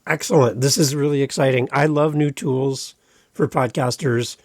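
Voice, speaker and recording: A man's voice: medium pitch, steady tone, moderate pace, no room sound, clean studio sound. A man hosting a podcast, upbeat and promotional as he introduces a guest and a tool. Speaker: podcast host.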